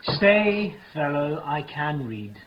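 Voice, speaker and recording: A man's voice: fairly high pitch, big pitch swings, takes it slowly, background noise. An actor playing a brooding, lovesick young nobleman with theatrical verse delivery in a 1950s radio drama. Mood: curious. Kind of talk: 1950s radio Shakespeare acting. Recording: muffled old radio recording, crowd behind.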